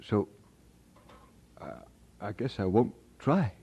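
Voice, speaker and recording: An actor playing an earnest, devout young Scottish immigrant bound for seminary, halting when moved and firm about his faith, in a radio drama. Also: fairly low pitch, lively intonation, warm tone, husky, highs cut off, exaggerated voice. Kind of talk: mid-century radio drama acting.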